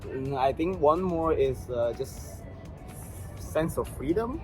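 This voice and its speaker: A man's voice: on the high side, expressive, over music, low-quality recording. A young man from Malaysia, a native Mandarin speaker, talking casually as a guest and often restarting his sentences. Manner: halting, with many restarts and fillers. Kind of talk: casual conversation in English.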